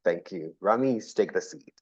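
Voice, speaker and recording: A man hosts a conference, reading a formal introduction of the next speaker. His low voice trips on unfamiliar names now and then. The recording sounds dull and muffled. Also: lively intonation, moderate pace, breathy edge. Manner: reads formally, stumbles on names. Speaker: conference host.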